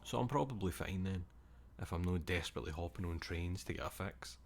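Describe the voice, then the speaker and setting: Young Scottish male streamer, very low voice, loose rambling chat with casual swearing. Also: brisk, slight background noise.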